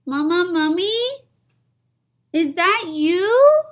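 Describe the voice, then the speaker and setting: A woman voicing a small boy mummy in a storybook, eager and stubbornly brave, calling out in drawn-out pleas. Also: very high-pitched, animated, voice pushed up, heavily acted. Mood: searching, plaintive. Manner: drawn-out, loud calling and pleading.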